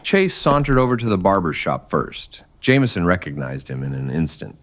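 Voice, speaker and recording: Man, low voice, highly varied intonation, scripted Western narration, old-time radio storytelling. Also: sing-song, moderate pace, slight background noise.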